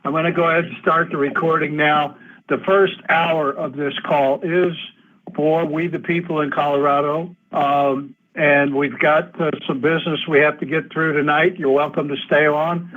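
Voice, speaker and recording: A man moderating a group conference call, speaking in a halting, procedural, informal way. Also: on the high side, little pitch movement, fairly bright tone. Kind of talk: informal, halting meeting talk. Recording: tinny, phone-line group conference call.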